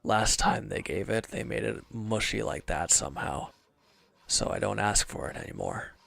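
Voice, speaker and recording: A man's voice: on the low side, lively intonation, moderate pace, vocal fry, fairly bright tone, full character voice. A man acting in an audio roleplay as a sullen, defensive wounded villain, bitter and withdrawn, speaking in curt, grudging, evasive phrases.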